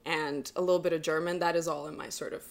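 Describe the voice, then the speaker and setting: A young woman whose first language is Russian speaks in a slightly low voice. She chats casually as a podcast guest. She often stops with ums to find the right word. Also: steady tone, fast. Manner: hesitant, searching for words with many ums. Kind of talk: casual chat.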